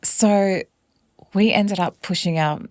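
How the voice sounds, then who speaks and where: A woman's voice: mid-range voice, takes it slowly, darkish tone, dry recording, studio quality. A woman in her forties from West Papua, whose first language is not English, telling her family's story as a guest in a podcast interview with earnest reflection. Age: 40s. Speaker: singer-songwriter guest.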